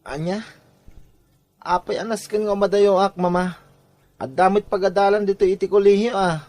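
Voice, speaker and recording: A man's voice: high-pitched, fairly even intonation, moderate pace, darkish tone, no echo, lightly acted. A man playing a downcast, lovesick college-age son in a drama podcast, with an Ilocano accent. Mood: anxious, protesting.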